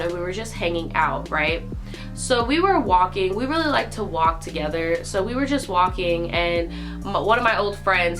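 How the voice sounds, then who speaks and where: A twenty-one-year-old woman tells a storytime vlog in a chatty, filler-heavy way. Her voice sounds congested from a cold. Music plays clearly behind her. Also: lowish voice. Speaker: YouTuber.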